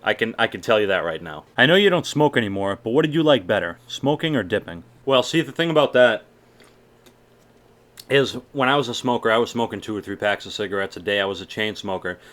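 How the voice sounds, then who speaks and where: A man's voice: on the low side, animated, brisk, fairly bright tone. Laid-back young man with a faint Boston lilt. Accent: mild Boston mixed with general American. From Massachusetts. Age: young adult. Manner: laid-back, swears often, chatty. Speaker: YouTuber answering viewer questions. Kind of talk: casual Q&A vlog.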